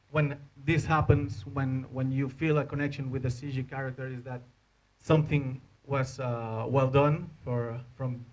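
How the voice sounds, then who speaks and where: A man in his thirties with a Spanish accent gives an informal conference talk as an animator, speaking halting non-native English into a handheld microphone. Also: medium pitch, highs cut off. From Spain. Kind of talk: informal presentation to an audience. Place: indoor hotel conference room.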